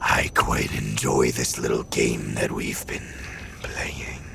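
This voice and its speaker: A man voicing a sinister eldritch demon in a scary audio story, mock-polite and taunting, with a rasping, whispery growl. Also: fairly even intonation, noisy background, exaggerated voice. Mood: amused, threatening. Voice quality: very deep gravelly rasp, breathy and whispered. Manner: slow, deliberate, mock-polite menace.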